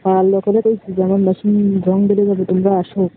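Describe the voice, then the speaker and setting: A woman's voice: mid-range voice, monotone, fairly quick. A woman with a Bangladeshi accent in a casual phone conversation, bantering with cheeky retorts. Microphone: phone call. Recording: tinny phone line with noise.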